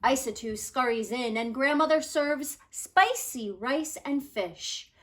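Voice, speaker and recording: A woman reading a children's picture book aloud like a teacher, with deliberate, expressive storytelling delivery. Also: high-pitched.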